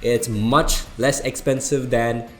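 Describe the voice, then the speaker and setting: A man with an Indian accent giving a casual software tutorial, explaining things informally step by step to his viewers. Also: mid-range voice, fairly even intonation, takes it slowly, soft background music. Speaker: tutorial host, designer.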